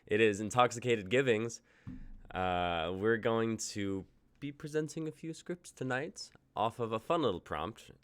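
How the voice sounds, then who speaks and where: A man's voice: lowish voice, a little slow, clean tone. A man in his twenties hosting a casual livestream chat, upbeat and jokey as he runs the show. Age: 20s. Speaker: host.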